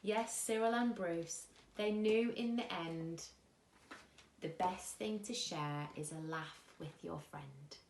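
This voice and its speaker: A woman reading a children's rhyming picture book aloud as a bedtime story, expressively and with dramatic pauses. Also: lowish voice, vocal fry, a little mellow, slight background noise. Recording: echoey room.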